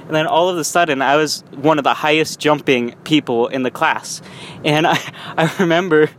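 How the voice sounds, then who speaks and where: A man's voice: fairly high pitch, moderate pace, a bit bright. Young man, rambling car vlog, restarts and small laughs, faint road noise. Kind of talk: casual vlog monologue. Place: in a car while driving.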